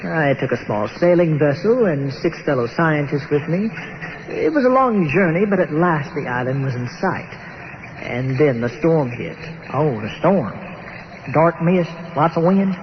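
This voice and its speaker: Man acting a courteous, high-voiced stranded Victorian archaeologist. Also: lively intonation, noisy background. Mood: dramatic recounting. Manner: formal, halting, earnest storytelling. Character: stranded 19th-century archaeologist, courteous, awed by his island. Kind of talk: old time radio drama acting. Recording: muffled radio-show recording with music behind.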